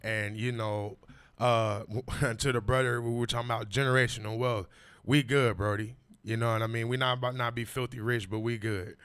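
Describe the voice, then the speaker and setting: A man's voice: medium pitch, fairly even intonation, moderate pace, a bit bright, smooth. A man, telling his story as a podcast guest in emphatic, slang-heavy casual talk. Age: 40s. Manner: emphatic, repetitive street slang, frequent "you know what I mean".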